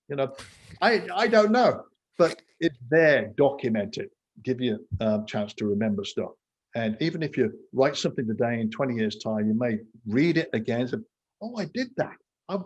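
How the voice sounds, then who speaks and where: A man's voice: mid-range voice, darkish tone, breathy edge, dull audio. A man in his sixties from England, a podcast guest talking casually and rambling, with lots of fillers and self-mocking asides. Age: 60s. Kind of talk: casual interview talk.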